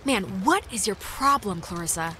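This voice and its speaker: A woman playing a quick-witted, sardonic and defiant teenage girl in a naturalistic video game. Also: medium pitch, expressive, pushed and bright, slight background noise. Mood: fed up. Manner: snarky, casual, blunt.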